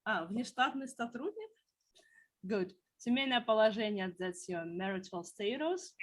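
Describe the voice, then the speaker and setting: A woman's voice: mid-range voice, lively intonation, measured pace. A woman teaching a beginner language class, patiently explaining words one at a time.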